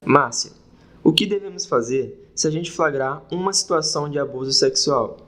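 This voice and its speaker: A man's voice: fairly high pitch, moderate pace, a bit bright, faint music behind. A man with a Brazilian accent hosting a podcast, reading scripted questions and announcements. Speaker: podcast host. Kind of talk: scripted interview questions and sign-off.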